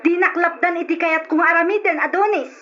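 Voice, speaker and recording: A woman's voice: very high-pitched, a little fast, bright, forceful tone. An actress playing a scorned, reproachful mistress confronting her cheating lover in an Ilocano radio drama, accusing and insistent. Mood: accusing, defiant. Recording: dull old radio drama recording, noisy.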